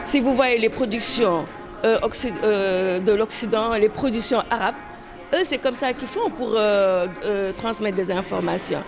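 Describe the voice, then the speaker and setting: A woman speaking in an interview, haltingly and often repeating words. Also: mid-range voice, crowd noise. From Senegal. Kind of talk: spoken interview. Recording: music playing behind the voice.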